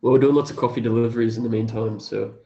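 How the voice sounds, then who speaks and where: A man speaking in a casual, low-key way as an interview guest, on dull lo-fi audio. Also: fairly even intonation, fast talker, dark tone. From Australia. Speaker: interview guest, cafe owner. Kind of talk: casual, low-key chat and plain reading aloud.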